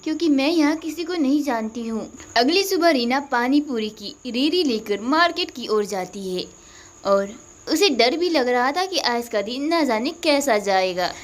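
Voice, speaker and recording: High-pitched woman storyteller. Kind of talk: scripted cartoon story narration.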